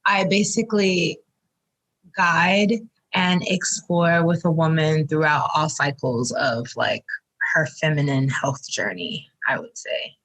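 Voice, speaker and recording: Young woman, lowish voice, thoughtful and rambly, lots of likes and ums, chatting as a guest. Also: takes it slowly, fairly bright tone, low-quality recording. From Trinidad. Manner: reflective, rambling with many fillers. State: three months postpartum. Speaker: guest, doula. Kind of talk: casual interview talk.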